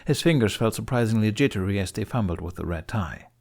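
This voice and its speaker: A male audiobook narrator with a fairly low voice. He reads scripted fiction expressively, in a storyteller's style. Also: expressive, quick pace, vocal fry, a little mellow.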